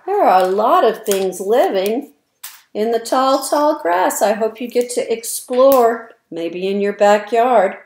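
A woman in her fifties reading a picture book aloud to young children, stressing words deliberately and expressively. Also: fairly high pitch. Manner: slow, deliberate, expressive word emphasis. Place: indoor room at home, by windows. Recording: roomy, echoey webcam sound.

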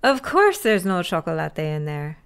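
A woman's voice: lowish voice, sing-song, normal speed, noisy background. A fierce young witch. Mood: brooding. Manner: intense inner monologue, bursts of anger. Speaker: lead character. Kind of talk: audio drama acting.

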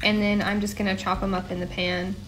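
A young woman hosting her own home cooking show, talking through each step in a relaxed, chatty way with small asides. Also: mid-range voice, fairly quick, background noise. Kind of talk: casual cooking voice-over. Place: home kitchen.

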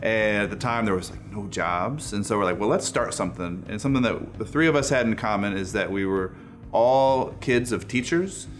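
A man's voice: on the low side, expressive, normal speed. A man in his thirties telling a story casually in a documentary interview, recorded indoors. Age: 30s. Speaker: non-profit co-founder. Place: indoor room, seated interview. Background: music.

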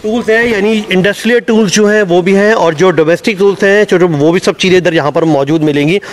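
A man's voice: high-pitched, quick pace. A man hosting a casual on-location promo walkthrough, chatty and repetitive. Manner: chatty, repeats "accha" and "dekhiye" often. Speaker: local news video host. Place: warehouse and roadside outdoors. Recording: noisy street and warehouse background.

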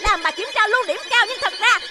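A voice actor playing an excitable, easily flustered schoolchild in a Vietnamese cartoon, melodramatic and exclamatory. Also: very high-pitched, brisk. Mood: agitated. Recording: loud music behind the voice.

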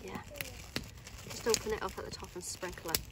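A woman, a mother at a camp, giving short brisk instructions. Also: medium pitch, steady tone, heavy background noise. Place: outdoors at a camp.

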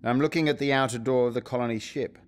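An actor playing a decisive, wry spaceship captain in an audio drama. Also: male voice, mid-range voice, fairly even intonation, fast talker, clean tone, natural voice. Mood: focused. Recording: faint noise behind the voice.

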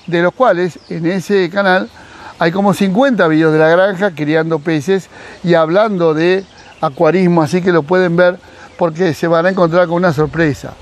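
A middle-aged Argentine man from Mar del Plata, a fish breeder, giving casual advice outdoors in a garden and talking animatedly with his hands. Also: high-pitched. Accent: Argentine Spanish, Mar del Plata. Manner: animated, gesturing, acts out quoted dialogue. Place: outdoors in a sunny garden by a pool. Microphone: camera at close range.

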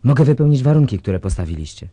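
An actor playing a treacherous, self-serving government official, husky and ingratiating, in a radio drama. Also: male voice, medium pitch, big pitch swings, quick pace, dark tone, no echo. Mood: smooth bargaining.

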